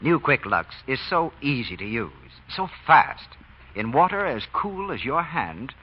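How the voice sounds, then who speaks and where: A man's voice: medium pitch, big pitch swings, a little slow, husky. A man with an American accent announcing and reading scripted advertising in 1940s radio style. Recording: dull vintage radio recording.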